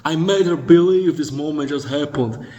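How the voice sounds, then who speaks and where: A man's voice: on the high side, normal speed, muffled recording, noisy background. A man giving blunt, profane dating instruction as a voice-over, lecturing and addressing the listener directly. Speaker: dating coach.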